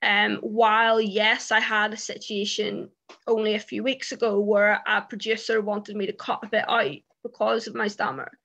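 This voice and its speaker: A woman's voice: medium pitch, level tone, moderate pace, pushed and bright. A British woman on a panel who stammers, talking casually with many fillers and repeated words. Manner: stammers, frequent fillers and repeats, laughs between points.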